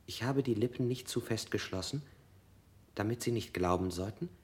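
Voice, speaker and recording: A man's voice: lowish voice, slightly rough. An actor playing an evasive man under interrogation, rambling in strange images and sometimes whispering. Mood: dreamy. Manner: dreamy, halting associations, sometimes whispered. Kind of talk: modernist radio play acting.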